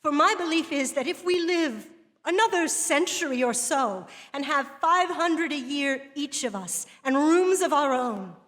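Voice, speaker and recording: A woman playing an earnest woman writer who delivers a literary lecture in measured, rhetorical phrases. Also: high voice, expressive, fairly bright tone. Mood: impassioned, resolute. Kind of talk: dramatic reading of a literary lecture. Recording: long hall reverb.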